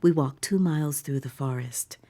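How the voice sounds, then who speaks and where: A woman performing audio fiction as an awed theme-park visitor in a bleak future, mixing breathy wonder with wry understatement and often slipping into a whisper. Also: low voice, moderate pace, mellow. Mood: hushed awe. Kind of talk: dramatic first-person audio fiction narration.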